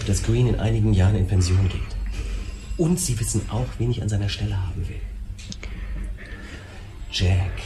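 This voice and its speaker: An actor playing an ambitious, forceful prosecutor of thirty-six in a noir radio drama. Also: male voice, fairly low pitch, close to an everyday voice. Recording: music behind the voice. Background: music.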